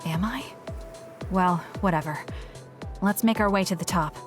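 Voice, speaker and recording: A woman's voice: on the low side, moderate pace, faint music behind, close to an everyday voice. An actress playing a stoic, blunt young woman fighter in a video game, speaking in a matter-of-fact, terse way. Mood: calm, frank. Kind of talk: English video game voice acting.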